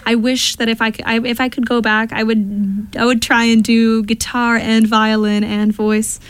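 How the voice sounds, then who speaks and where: A woman from the Upper Midwest of the US, a singer-songwriter and voice teacher, chatting in an interview in a quick, bubbly, self-effacing way. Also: a little high, flat delivery, clear, resonant tone. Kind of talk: casual interview chat.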